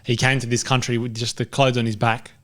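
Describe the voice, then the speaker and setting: A man with a mid-pitched voice shares hosting on a film podcast. He speaks casually, with blunt and dryly contrary replies. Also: flat delivery, a little fast, a bit bright. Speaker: podcast co-host. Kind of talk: casual film review banter.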